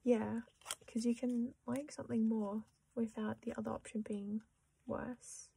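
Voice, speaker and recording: A young Australian woman chatting quietly to camera while sorting her collection, often dropping into a half-whisper and poking fun at herself. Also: on the high side, little pitch movement, unhurried, creaky, slight background noise. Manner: soft-spoken, often half-whispering, self-deprecating. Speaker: collecting vlogger. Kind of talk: casual chat while sorting cards.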